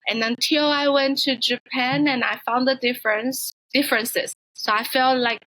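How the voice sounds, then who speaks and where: Bright, earnest woman from Taiwan. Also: high-pitched, steady tone, normal speed, no echo, poor audio. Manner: earnest, reflective, searching for words. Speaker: interview guest. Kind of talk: casual conversational interview.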